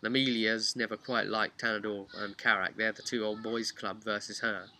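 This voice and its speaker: A man casually retelling a tabletop role-play story in a halting, chatty way with wry asides. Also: lowish voice, flat delivery, bright, forceful tone. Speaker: host of a tabletop role-play campaign recap. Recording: clear background noise with birdsong.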